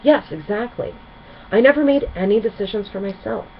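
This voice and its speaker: A woman voicing a gentle, reassuring pony princess who mentors others in a storybook. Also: medium pitch, expressive, brisk, dull audio, slight background noise, pitched above the performer's own voice, natural voice. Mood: reminiscing.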